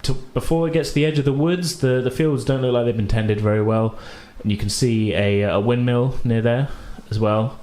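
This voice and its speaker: A man's voice: mid-range voice, moderate pace, hardly any pauses, a little mellow. A British man casually improvising narration as game master, rambling and hesitant as he goes. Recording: roomy echo, faint background noise.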